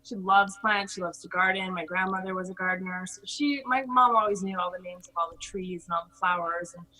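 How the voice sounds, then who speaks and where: Bright-voiced, chatty American woman. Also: lowish voice, fairly even intonation, brisk, rough recording. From Hawaii, United States. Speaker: podcast guest. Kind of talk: casual personal storytelling in an interview.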